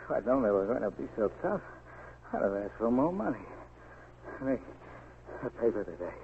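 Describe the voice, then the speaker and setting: Faint, dying man in old radio. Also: very animated. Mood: weak, pained. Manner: faint, halting, low delivery. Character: dying, shot newspaperman, weak and regretful. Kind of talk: 1940s radio acting. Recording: noisy, muffled 1940s radio recording.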